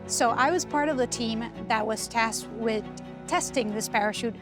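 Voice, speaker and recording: A woman from Argentina speaks as an engineer in an informal interview. Her pitch is a little high. Clear music plays underneath. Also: normal speed. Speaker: NASA guidance and control engineer.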